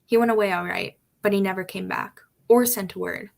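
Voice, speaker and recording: A woman's voice: medium pitch, fairly even intonation, a little fast, vocal fry. A woman playing a forlorn, defensive young servant girl in a radio drama, with a plaintive manner. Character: Mary, forlorn, defensive young village maid.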